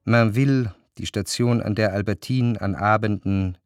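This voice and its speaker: A man narrating a literary radio drama in the first person, in a measured, reflective manner. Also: fairly low pitch, clean tone, no echo, studio quality, soft background music.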